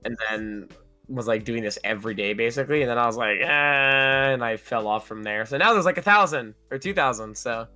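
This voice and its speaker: A young man guesting on a podcast with a hoarse voice, telling stories in an animated, profane way. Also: mid-range voice, a little fast, no echo, faint music behind. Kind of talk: casual chat.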